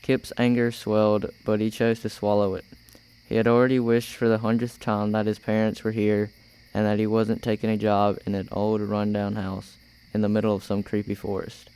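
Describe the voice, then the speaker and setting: A man's voice: fairly low pitch, completely flat delivery, normal speed, darkish tone, clear, resonant tone, rough recording. A young man reading scripted story narration for an amateur radio play. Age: teenager or young adult.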